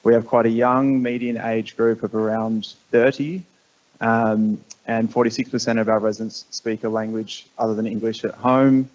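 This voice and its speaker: A man's voice: fairly low pitch, little pitch movement, normal speed, darkish tone, dull audio. A man giving a conference presentation about his city program, polite and organised.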